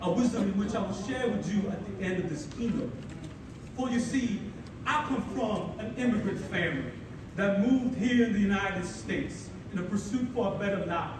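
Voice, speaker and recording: Energetic high-voiced young man in echoey hall. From Philippines. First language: Tagalog. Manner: energetic, playful storytelling with dramatic pauses. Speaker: keynote speaker. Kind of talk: ceremonial keynote speech. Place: large hall at a ceremony. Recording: echoey hall, mic far off, audible room noise.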